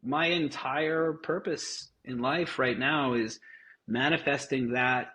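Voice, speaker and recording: This is a man at an ordinary middle pitch, talking as a guest in an interview. His delivery is loose and energetic, with casual swearing and plenty of false starts. He sounds like he's thinking out loud as he goes. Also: slow. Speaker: guest, festival founder.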